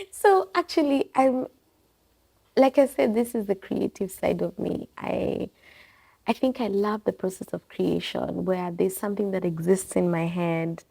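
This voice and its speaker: A woman's voice: mid-range voice, animated, unhurried, gravelly, dark tone, husky. A woman, a bookstore owner being interviewed in her shop, talking in a reflective, rambling way that wanders and corrects itself. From Kenya. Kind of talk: casual interview conversation. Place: her bookstore and coffee bar.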